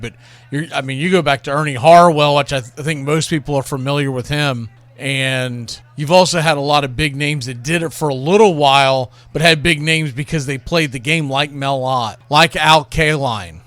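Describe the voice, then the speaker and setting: A man's voice: mid-range voice, fairly bright tone, no echo. A man hosting a radio show, talking casually and enthusiastically about classic baseball calls like a storyteller.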